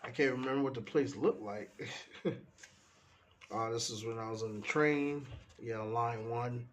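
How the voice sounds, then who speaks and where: A man's voice: mid-range voice, a little slow, highs cut off, a little hiss or hum. Stuffy-nosed man rambling over sketches. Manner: relaxed, meandering, trails off often. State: stuffy from a cold. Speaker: artist flipping through his sketchbook. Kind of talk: casual rambling commentary.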